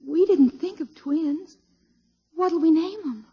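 A woman playing a tender, overjoyed young new mother with a breathy voice, in 1950s radio drama. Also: very high voice, slow, full character voice. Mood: dreamy, happy. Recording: dull old radio recording.

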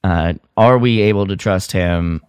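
Low-pitched man chatting casually, dry sound. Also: a little slow, a little mellow, clear, resonant tone, dry recording. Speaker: podcast co-host. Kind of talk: casual review chat about a radio drama.

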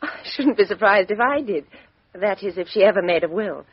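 An actress playing a composed, outspoken young Englishwoman with a crisp, frank upper-class delivery in a 1950s radio drama. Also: medium pitch, lively intonation, moderate pace, no echo. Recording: dull 1950s radio recording.

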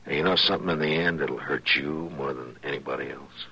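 An actor playing a patient, authoritative frontier marshal in a radio western, speaking plainly and in a measured way with an American accent. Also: male voice, rumbling low, fairly even intonation, vocal fry, sharp tone, breathy edge, exaggerated voice. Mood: gentle, fatherly. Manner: measured, fatherly, plain-spoken. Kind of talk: old-time radio western acting.

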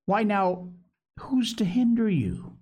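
A man's voice: sits high, big pitch swings, slow pace, darkish tone, voice pushed up, exaggerated voice. A man voicing a half-crazed marooned sailor in an audiobook, sly, childishly eager and talkative, with rustic, ungrammatical speech and repeated words. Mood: sly, pleased.

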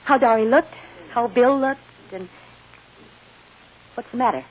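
Anxious high-voiced jailed woman. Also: a little slow, slightly rough. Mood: eager, tender. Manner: earnest, emotional, pleading. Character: anxious, devoted jailed woman awaiting trial. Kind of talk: 1940s-50s radio drama acting. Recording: muffled, noisy old radio recording.

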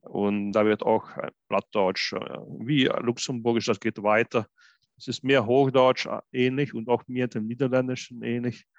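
Man, low gravelly voice, halting with many fillers, casual interview, dry dull lo-fi recording. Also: flat delivery, dry recording. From Belgium. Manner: hesitant, many fillers and restarts. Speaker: interpreter and podcast guest.

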